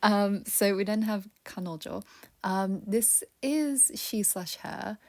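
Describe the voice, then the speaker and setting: A young woman casually teaching a vocabulary lesson, chatty and laughing often, dropping to a soft near-whisper when she gives word meanings. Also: mid-range voice, slow. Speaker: language teacher.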